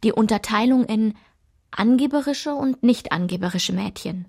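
A woman's voice: on the high side, expressive, normal speed, a little mellow. A woman playing a solitary, observant narrator who recalls her schoolgirl self in precise, composed literary radio-play narration. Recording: clear music behind the voice.

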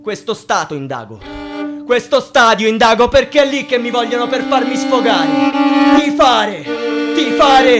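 Frustrated young student, theatrical spoken word, voice swinging all over, loud violin music behind. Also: male voice, very high-pitched, lively intonation, unhurried. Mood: agitated, insistent. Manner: declamatory, rhythmic, swinging from hushed muttering to loud cries. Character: frustrated, bitterly sardonic young male student railing at the system. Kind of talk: theatrical spoken-word monologue with wordplay and repetition. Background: bowed string music.

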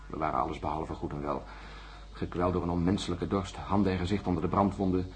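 An actor playing an uncertain astronaut under questioning in a radio drama, halting and groping for words. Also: male voice, low voice, steady tone, darkish tone, close to an everyday voice. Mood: weary recollection. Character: hesitant, uncertain male astronaut being questioned.

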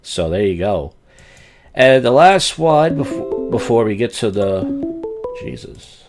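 A young man hosts a call-in show and rambles through crude stories and jokes. His voice sits on the low side, and he tosses in deadpan asides between the gross-out bits. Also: slow, over loud music. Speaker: podcast host. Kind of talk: casual crude comedy monologue, taking calls.

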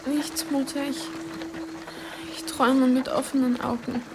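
Anxious young daughter, high-pitched, frail, hushed radio drama acting, loud background ambience. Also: female voice, little pitch movement, measured pace. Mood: shocked, frail. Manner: hushed, fearful. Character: weak, anxious young daughter resting in bed. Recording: loud ambient noise behind voice.

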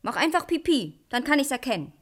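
An actress playing a wary, stubborn young princess in a fairy-tale radio play, pert and suspicious in manner. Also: female voice, sits high, sing-song, fairly quick, a bit bright, full character voice.

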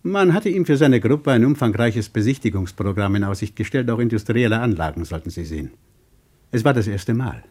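An actor playing a courteous, evasive Soviet travel official in a radio play, speaking formally and hesitantly. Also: male voice, fairly low pitch, lively intonation, fairly quick, a little mellow, slightly rough. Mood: confiding. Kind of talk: German radio play acting.

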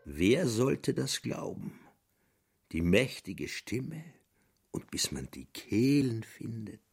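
A man's voice: medium pitch, big pitch swings, slow, creaky, slightly rough, exaggerated voice. An actor playing a brooding man who murmurs his inner thoughts in a radio play.

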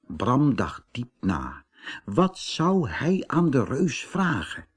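A man narrating a scripted fairy tale for an audiobook in an expressive, dramatic storytelling manner, with a husky voice. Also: mid-range voice, slow.

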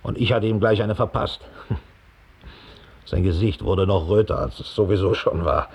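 A man's voice: low voice, unhurried, a little mellow, husky, exaggerated voice. An actor playing a big, slovenly, resentful middle-aged Nazi operative who gives his account with contempt and self-importance in a radio play. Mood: smug contempt. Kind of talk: radio drama monologue, police statement. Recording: 1960 studio radio play.

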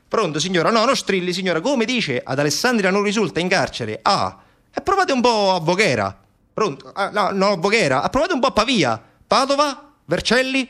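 Man playing a high-pitched, evasive police desk clerk. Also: sing-song, moderate pace, fairly bright tone. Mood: flustered, evasive. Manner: repetitive, brushing-off, phone-call patter. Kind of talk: theatrical monologue character.

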